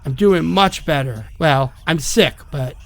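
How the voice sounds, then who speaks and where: A man's voice: on the high side, a little slow, vocal fry. An actor playing a sick, rattled, apologetic man who rambles hesitantly through phone messages in a horror audio drama. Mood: hopeful.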